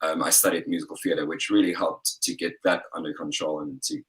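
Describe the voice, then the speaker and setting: A young man, a singer-songwriter guest, chatting in an interview over a video call in a relaxed, rambling way full of fillers. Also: rumbling low, a little fast, poor audio. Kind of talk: casual interview chat.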